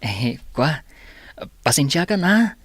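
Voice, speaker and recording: A man's voice: fairly high pitch, sing-song, takes it slowly, fairly bright tone, husky, no echo, full character voice. A man playing a shy, hardworking young student with an Ilocano Filipino accent in a comedy radio drama, speaking in a bashful, hesitant way. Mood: sheepish. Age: young adult.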